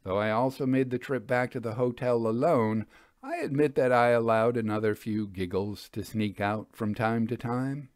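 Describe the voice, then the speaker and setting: A man's voice: medium pitch, lively intonation, normal speed, no echo, clean studio sound. A man reading scripted first-person literary narration as a storyteller.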